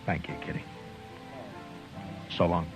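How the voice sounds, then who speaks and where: An actor playing a terse, deadpan, stubborn American lawman in an old-time radio western. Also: measured pace, close to an everyday voice. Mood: grim, restrained. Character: grim, stubborn, laconic Old West lawman. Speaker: lead actor and narrator. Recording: dull vintage radio recording, music behind.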